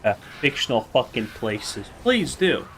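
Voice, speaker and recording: A young man with a bright, sharp-edged voice heckles his co-host in casual chat. He is mocking and exasperated, and his remarks are crude. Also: mid-range voice, big pitch swings, a little slow, slight background noise, low-quality recording. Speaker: podcast co-host.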